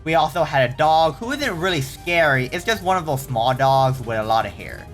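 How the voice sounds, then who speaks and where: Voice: medium pitch, animated, moderate pace, faint music behind. A casual host reading online horror stories aloud, often stumbling and correcting the wording, with startled, exclaiming reactions in between.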